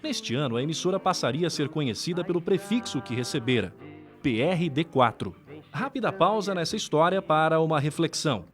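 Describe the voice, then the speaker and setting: A man's voice: fairly high pitch, lively intonation, fairly quick. A man with a Brazilian accent reads scripted documentary narration. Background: background music.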